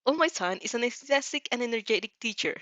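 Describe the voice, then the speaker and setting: A woman's voice: a little high, lively intonation, fast talker, a bit bright, no echo. A woman hosting a casual fanfiction read-aloud, hesitant and giggly, often correcting herself as she goes. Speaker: host of fanfiction reading show.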